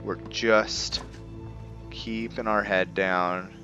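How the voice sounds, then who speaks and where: A man hosting a roleplay playthrough with casual game commentary, halting as he thinks aloud. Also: fairly low pitch, steady tone, dull audio. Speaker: gameplay host, roleplayer. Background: soft background game music.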